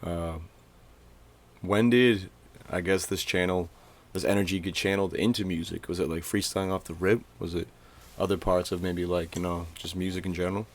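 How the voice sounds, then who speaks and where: A man's voice: sits low, steady tone, moderate pace. A man in his twenties from Maine, hosting a podcast in loose, slangy casual chat. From Maine, USA. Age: 20s. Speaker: podcast host.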